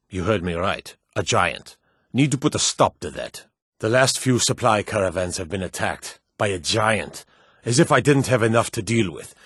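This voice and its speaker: A man playing a stern, battle-hardened military officer in a fantasy video game, brisk, commanding and gruffly matter-of-fact as he gives orders. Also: lowish voice, fairly bright tone, no echo, clean studio sound. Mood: weary, exasperated.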